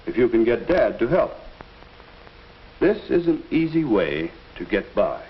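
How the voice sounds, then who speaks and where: An actor playing a patient male teacher in a 1950s educational film, speaking in a measured, instructive way on an old soundtrack. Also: medium pitch, muffled recording. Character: patient adult male school teacher counseling students.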